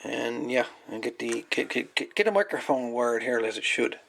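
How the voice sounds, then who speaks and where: A man's voice: mid-range voice, animated, moderate pace, creaky, a bit bright, slight background noise. A man giving casual hands-on commentary as a repairer, rambling and folksy, repeating his words as he goes. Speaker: hobbyist radio repairer presenting. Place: indoor workbench.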